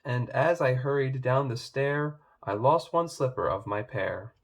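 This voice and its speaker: A man reading rhyming children's stories aloud in a measured, expressive way. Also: mid-range voice. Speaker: storybook reader.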